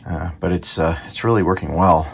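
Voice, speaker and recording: A man's voice: deep voice, fairly even intonation, brisk, creaky, slightly rough. A man giving casual hands-on commentary as a hobbyist restoring an old radio, murmuring short remarks as he works. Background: clicks and an antique radio playing.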